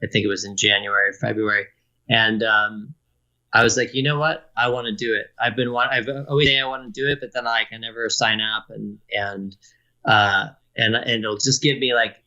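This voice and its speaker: Male guest, low, sharp-toned, muffled, easygoing interview talk. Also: steady tone, normal speed. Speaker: guest musician. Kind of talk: casual interview chat.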